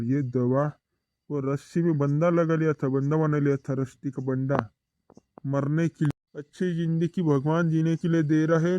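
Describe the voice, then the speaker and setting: Man acting urgent high-voiced cartoon doctor. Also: warm tone, natural voice. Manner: repeats short phrases insistently. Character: urgent, bossy village hospital doctor, an adult man. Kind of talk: amateur cartoon comedy acting.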